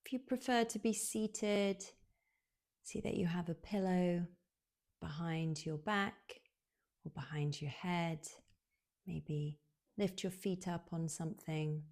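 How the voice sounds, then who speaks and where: A woman guiding a relaxation meditation in a soothing, unhurried way, leaving long pauses, with soft, breathy phrase endings. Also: lowish voice. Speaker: yoga nidra guide.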